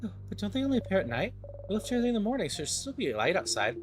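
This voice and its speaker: An excitable young companion from a video game, as a man puts the voice on, squeaking up high and firing off eager, wondering questions. Game music plays clearly behind the voice. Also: very fast. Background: background music.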